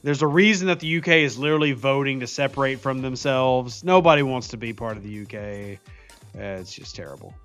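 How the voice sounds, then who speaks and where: A man's voice: medium pitch, brisk, fairly bright tone, clean tone. A man in casual stream banter, giving blunt, opinionated rants. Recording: faint music behind.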